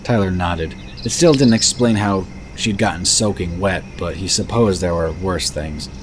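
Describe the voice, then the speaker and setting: Deep-voiced male fantasy narrator. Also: moderate pace. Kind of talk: scripted third-person fantasy narration. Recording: background noise of birds and crickets.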